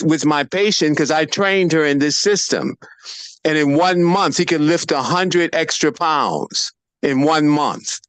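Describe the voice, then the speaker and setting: A man's voice: fairly high pitch, smooth, no echo. A man in his seventies on a video call, a breathing practitioner explaining his method and telling stories in an earnest way. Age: 70s. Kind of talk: casual chat.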